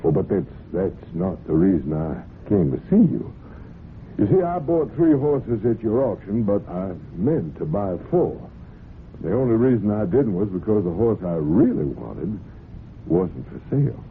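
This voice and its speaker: A man's voice: fairly low pitch, sing-song, unhurried. An actor playing a genial, pushy horseman, folksy and insistent, in an old-time radio drama. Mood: genial, coaxing. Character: persistent, cocksure horseman, genial but pushy buyer. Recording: muffled, noisy old radio broadcast.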